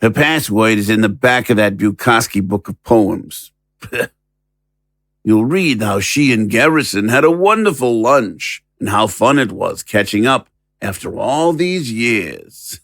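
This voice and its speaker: A man's voice: on the low side, lively intonation, slow pace, fairly bright tone, dry recording, studio quality, full character voice. A man voicing a foul-mouthed, sneering talking dog in an audio drama, blunt and taunting. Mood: sly, needling.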